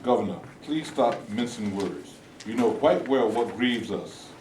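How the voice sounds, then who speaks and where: A man plays a measured activist spokesman who chooses formal, careful words. His voice is mid-range, heard from a distance in an echoey room with faint hiss. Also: mid-range voice, darkish tone, close to an everyday voice. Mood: firm. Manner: formal, deliberate. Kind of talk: staged play reading.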